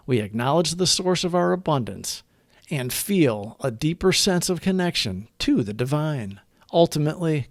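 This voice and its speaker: Man reading devotionals, lively rise and fall. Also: mid-range voice, dry recording, studio quality. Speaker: narrator of recovery meditation readings. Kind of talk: scripted devotional read-aloud.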